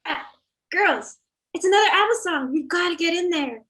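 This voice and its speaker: A woman's voice: very high voice, animated, moderate pace, a bit bright. A woman playing a bossy, eager Greek chorus singer in a musical table read.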